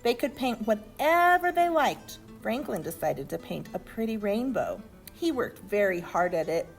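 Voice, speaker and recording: A woman reads a children's storybook in an expressive, deliberate storytelling manner. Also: medium pitch, soft background music. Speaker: storybook reader. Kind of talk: animated children's story narration.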